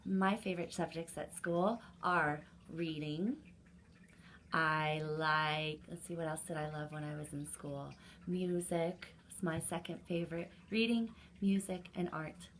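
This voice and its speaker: A woman hosting a children's story time, reading aloud and chatting to young listeners directly, in an animated manner. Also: low voice, unhurried, slight background noise.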